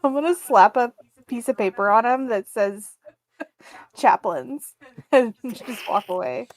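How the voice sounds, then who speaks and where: Higher-voiced woman playing a tabletop roleplaying game. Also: expressive, slow. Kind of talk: casual improvised roleplay chat.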